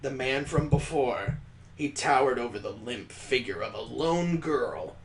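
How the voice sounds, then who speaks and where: A man's voice: medium pitch, expressive, unhurried, a little hiss or hum, rough recording. A man on a stream reading a visual novel aloud, breaking off for crude, joking asides and mock sound effects.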